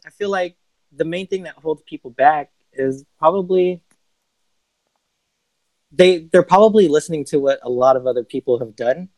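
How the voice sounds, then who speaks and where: A man, a music producer, talking as a podcast guest in relaxed casual answers, with many ums. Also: a little high, moderate pace, long pauses, dry recording, low-quality recording.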